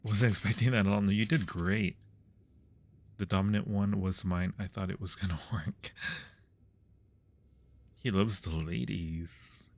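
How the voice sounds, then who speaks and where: Low-voiced man hosting a casual livestream. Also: fairly even intonation, unhurried, creaky, long pauses. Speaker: livestream host. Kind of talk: casual livestream chat with viewers while gaming.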